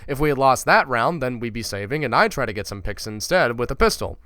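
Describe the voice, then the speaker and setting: A man's voice: mid-range voice, animated, quick pace, sharp tone, no echo, clean studio sound. A man giving casual, ranting commentary as a gaming YouTuber, blunt and profane, with stumbling repeats as he talks.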